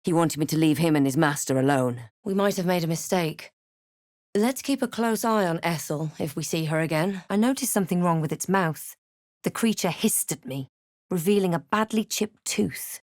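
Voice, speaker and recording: Woman acting a measured, low-voiced fantasy adventuress. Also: a little mellow, no echo, clean studio sound, natural voice. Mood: alert, wry. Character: snarky, self-possessed female fantasy adventurer and spellcaster. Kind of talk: video game voice lines, narration and incantations.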